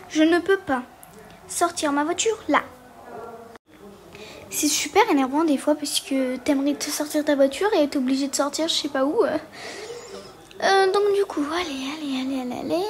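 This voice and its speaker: A woman giving casual, hesitant commentary as she walks through a game, with lots of fillers. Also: high voice. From Portugal. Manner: hesitant, many fillers, chatty. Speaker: gaming video creator.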